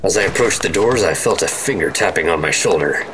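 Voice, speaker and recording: An American man playing a dry, unflappable 1950s secret agent, narrating and talking in a measured noir radio drama. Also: low-pitched, expressive, noisy background. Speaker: narrator and lead actor. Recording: music under the voice.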